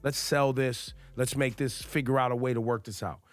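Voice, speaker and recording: A man's voice: mid-range voice, fairly even intonation, normal speed, soft background music. A man co-hosting a radio talk show, making earnest points and challenging the others with questions. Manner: earnest, argues by posing questions. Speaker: radio co-host.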